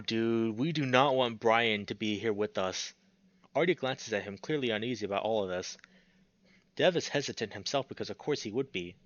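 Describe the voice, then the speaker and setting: A man's voice: medium pitch, a bit bright, no room sound, muffled recording. A man reading a visual novel aloud as a streamer, mixing narration with casual, sweary commentary.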